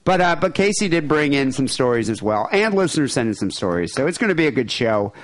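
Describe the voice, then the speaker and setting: Animated, joking podcast host. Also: male voice, mid-range voice, quick pace, no room sound. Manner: loose, joking, filler-heavy. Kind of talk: casual podcast hosting.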